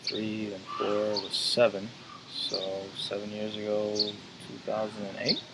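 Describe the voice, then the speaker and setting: A man in his twenties answering interview questions in a hesitant, measured way with fillers. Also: fairly low pitch, completely flat delivery, very noisy. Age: 20s. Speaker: interview guest.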